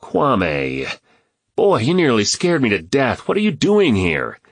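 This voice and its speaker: A man's voice: medium pitch, huge pitch swings, slow pace, no echo, pitched above the performer's own voice, full character voice. A man voicing a practical grown man who talks in a plain, direct way, firm but kind, recorded dry and close. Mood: alarmed.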